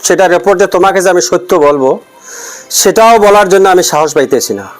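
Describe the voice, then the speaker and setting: A grave, hesitant doctor in a short film drama, played by a man. His voice is very high for a man. He speaks haltingly and repeats himself over faint music. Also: lightly acted. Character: grave, hesitant uncle doctor giving bad news. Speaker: actor in amateur short film. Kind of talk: acted drama dialogue.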